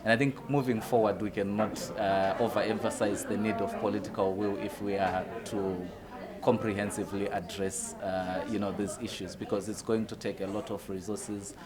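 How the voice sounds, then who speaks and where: A young man from Zimbabwe, a youth activist giving a measured, earnest statement with frequent fillers. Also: fairly low pitch, flat delivery, crowd noise. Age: young adult. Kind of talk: short prepared statement to camera.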